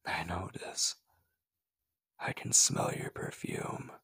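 A man playing an obsessive, possessive stalker lover in a horror ASMR roleplay, halting and breathy, clingy and menacing. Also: pushed and bright. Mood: sinister, teasing. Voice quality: husky, breathy. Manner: slow, halting, slipping between breathy whispers and urgent pleading. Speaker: voice actor.

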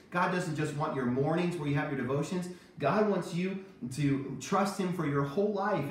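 A man in his thirties giving children an emphatic, repetitive Bible lesson in a kitchen. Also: fairly high pitch. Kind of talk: kids' sermon with a puppet sidekick. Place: home kitchen, indoors. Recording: very echoey room, distant microphone.

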